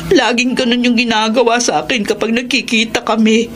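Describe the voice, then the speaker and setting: High-voiced, plaintive young Filipino woman, acted by a woman. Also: flat delivery, brisk, natural voice. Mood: aggrieved. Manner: melodramatic, plaintive. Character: aggrieved young woman, tearful, bitter girlfriend. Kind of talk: Filipino radio drama acting. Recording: loud music under the voice.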